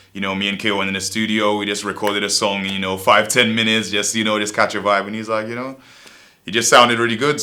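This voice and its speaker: A man's voice: low-pitched, sharp tone. A young man from Saint Lucia with a Saint Lucian and East London accent, a rapper chatting in a laid-back, rambling way in an interview. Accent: Saint Lucian mixed with East London. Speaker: rapper and songwriter, guest. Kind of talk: casual interview talk.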